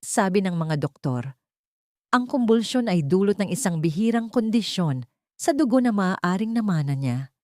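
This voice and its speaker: A woman's voice: mid-range voice, animated, moderate pace, mellow, dry recording, clean studio sound. A woman reading a scripted audio story as a dramatic narrator.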